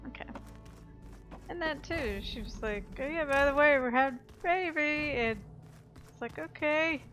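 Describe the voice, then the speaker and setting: A woman's voice: sits high, a little slow, a bit bright. Chatty woman gamer over game music. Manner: chatty, rambling, lots of fillers. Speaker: let's play gamer. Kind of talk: casual game commentary.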